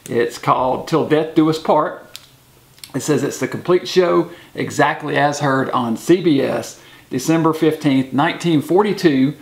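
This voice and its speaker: An echoey recording of a man with a gravelly, creaky voice at middle pitch. He hosts a show-and-tell in a casual, informal style. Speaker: host showing memorabilia. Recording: echoey room.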